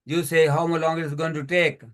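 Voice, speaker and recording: A man's voice: on the high side, flat delivery, normal speed. A man giving a workshop talk on money over a video call, listing examples one after another in non-native English phrasing. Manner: explains with many lists and examples. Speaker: financial educator. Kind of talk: community workshop presentation.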